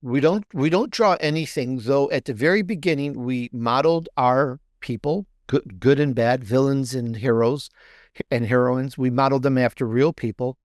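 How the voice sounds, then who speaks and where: A man being interviewed as an author, explaining his storytelling off the cuff in a halting way, repeating words as he searches for phrasing. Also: mid-range voice, dry recording, studio quality. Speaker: interview guest, fiction author.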